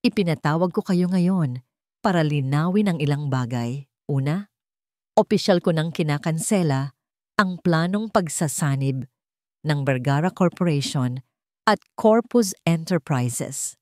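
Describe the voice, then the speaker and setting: A woman with a Filipino accent voicing a determined male chief executive with calm, deliberate weight in an audio storybook drama. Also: sits low, no room sound, clean studio sound. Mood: calm, resolute.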